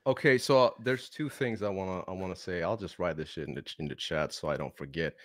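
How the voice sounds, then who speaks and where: A man from Belgium whose first language is Dutch, speaking English with an American-sounding accent, chatting excitedly on a group podcast over a video call. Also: low-pitched, dry recording, clean studio sound. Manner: excitable, laughs a lot, quick bursts. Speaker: podcast co-host and reaction creator. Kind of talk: casual group podcast chat. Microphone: headset and studio mic on video call.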